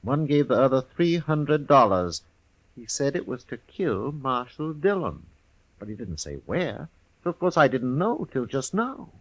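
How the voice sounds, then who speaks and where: Eccentric Old West drummer: medium pitch, insistent, rambling, ominous. Classic radio western style. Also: male voice, very animated, measured pace, a bit bright, full character voice, poor audio. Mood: earnest, secretive. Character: odd, insistent traveling whiskey salesman in the Old West. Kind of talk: 1950s radio western acting.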